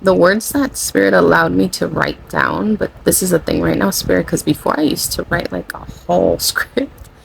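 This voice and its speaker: A tired, low-energy spiritual channeler, a native Spanish speaker, talking casually and rambling, often saying "you know" and correcting themself. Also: sing-song, normal speed, gravelly, a little hiss or hum, poor audio. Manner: rambling, self-correcting, with frequent "you know" and light laughter. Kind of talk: casual spiritual vlog monologue.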